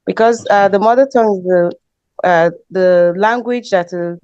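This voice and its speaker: A woman with a Nigerian English accent, a linguist on a panel, explaining in a measured way with fillers over a lo-fi online call. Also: mid-range voice, a little mellow, smooth, no echo.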